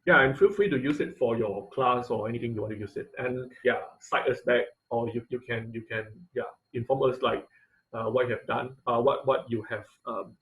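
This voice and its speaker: A man teaching an informal online class and taking questions, speaking haltingly and often repeating phrases as he searches for words. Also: mid-range voice, a bit bright, rough recording. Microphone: online video-call audio.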